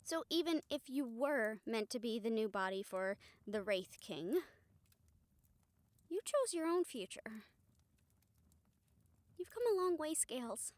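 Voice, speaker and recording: Woman acting gentle, high-voiced supportive friend. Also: sing-song, unhurried, long pauses, dry recording. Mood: earnest, reassuring. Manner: soft, hesitant, reassuring, earnest. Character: gentle, supportive female rehabilitator comforting a friend. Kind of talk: intimate audio roleplay acting.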